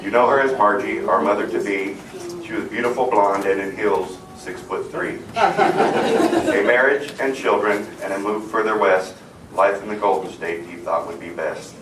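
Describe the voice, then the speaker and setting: A man's voice: fairly low pitch, measured pace. A man reading humorous rhyming verse aloud to a family gathering. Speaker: family member reading a tribute poem. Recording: echoey, distant, loud crowd noise.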